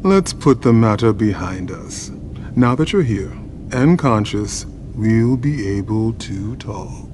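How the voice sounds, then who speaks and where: A man's voice: fairly low pitch, expressive, slow pace, noisy background. A man playing a guarded vampire boss in a video game, formally polite and coolly menacing. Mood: guarded, measured. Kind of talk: dramatic video game acting.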